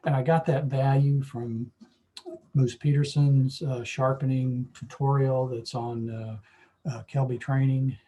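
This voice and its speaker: A hoarse man teaching a photo-editing lesson, explaining at an easy pace with lots of fillers. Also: mid-range voice, slight background noise. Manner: relaxed, explanatory, with frequent fillers. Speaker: photography club instructor. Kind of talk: screen-share software tutorial.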